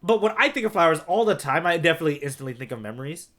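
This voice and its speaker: A man's voice: a little high, expressive, racing, a bit bright. A man co-hosting a podcast, talking through comic panels in a casual, conversational way. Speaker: podcast co-host. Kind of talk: casual manga discussion.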